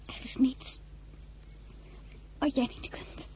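A woman's voice: takes it slowly, warm tone, whispered, lightly acted. An actress playing a general's impulsive, adoring young wife in an audio drama, emotional and girlish. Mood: earnest.

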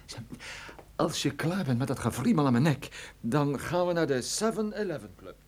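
An actor playing a wry, unflappable private detective in a radio play, dry and sardonic. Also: male voice, on the high side, expressive, normal speed, darkish tone, close to an everyday voice. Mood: businesslike. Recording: slightly noisy radio play recording.

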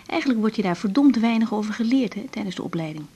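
Husky, troubled young doctor. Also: female voice, medium pitch, expressive, dark tone. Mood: hesitant, troubled. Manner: halting, searching for words. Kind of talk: naturalistic Dutch radio drama dialogue.

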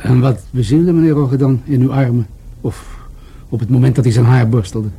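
An actor in a Dutch radio drama playing a calm, probing police detective with an understated way of questioning. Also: male voice, medium pitch, normal speed, breathy edge.

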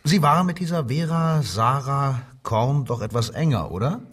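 An actor playing a coldly mocking Nazi police commissioner who interrogates in a controlled, commanding radio crime drama style. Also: male voice, mid-range voice, expressive, moderate pace, slightly rough, distant mic. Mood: probing, mocking. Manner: sardonic, probing, controlled, commanding.

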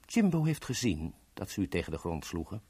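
A polite yet threatening henchman in a radio drama. He speaks formally in a husky mid-range voice with very mobile intonation, recorded dry. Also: low voice, very animated, a little mellow, no room sound, full character voice. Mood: grave threat. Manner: formal, courteous, measured. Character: polite, coolly threatening male henchman under orders.

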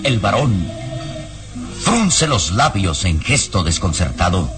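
A man's voice: low voice, sing-song, takes it slowly, music in the background. A man narrating a vintage radio drama, describing scenes dramatically in the present tense.

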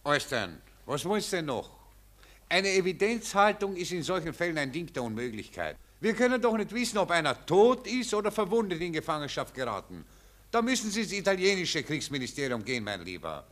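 An impatient desk officer whose highish voice rises and drops sharply. He is brusque, callous and condescending, in a 1940s radio drama. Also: very animated, fairly quick, a bit bright. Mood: exasperated. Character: exasperated, callous army captain behind a desk. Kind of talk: 1940s radio play acting. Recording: old 1940s radio recording.

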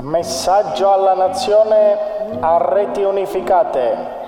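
A man playing a solemn state broadcaster in a radio play, reading a formal, measured proclamation. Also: high-pitched. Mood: solemn, official. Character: solemn state broadcaster announcing the king's death. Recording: distant, echoing hall, music behind.

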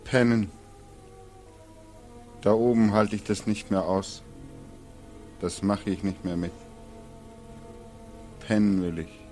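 A man's voice: lowish voice, fairly even intonation, slow pace, pauses often, darkish tone, faint music behind. An actor playing a weary, desperate man in his twenties in a 1940s radio drama. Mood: weary, defiant. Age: 20s. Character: despairing, weary 25-year-old war returnee wanting to die. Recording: vintage 1940s radio recording.

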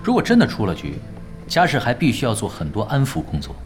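A composed former champion gamer in a radio drama. He explains things dryly and matter-of-factly in a mid-pitched voice with lively intonation, over faint music. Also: fast talker. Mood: calm analysis. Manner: matter-of-fact, dry, explanatory. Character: composed, dry-witted ex-champion esports strategist, man. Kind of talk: Chinese radio drama acting.

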